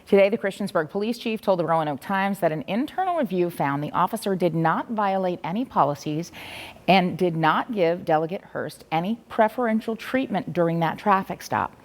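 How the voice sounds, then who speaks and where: A woman reads the evening news in a low, measured voice. Her formal delivery rings with a long reverb, as if from a reverberant studio. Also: lively intonation. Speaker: news anchor. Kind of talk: read news copy.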